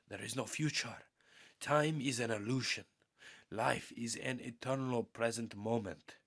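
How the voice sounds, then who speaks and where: A man reading a spiritual audiobook in an earnest, measured, meditative way, with deliberate pauses and a husky, gravelly voice. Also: medium pitch, fairly bright tone. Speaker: audiobook reader.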